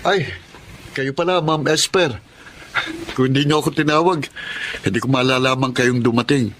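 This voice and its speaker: Male actor as earnest young man, polite working student, sincere, mid-pitched, radio drama, slight background noise. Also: normal speed, fairly bright tone, lightly acted. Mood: polite, eager. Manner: respectful, sincere. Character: earnest, polite twenty-year-old working-student gasoline boy. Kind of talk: Filipino radio drama acting and first-person narration.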